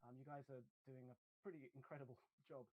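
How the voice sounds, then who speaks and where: A man conducting an interview over a video call, asking questions in a brisk, friendly way. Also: medium pitch, fairly even intonation, lo-fi sound, background noise.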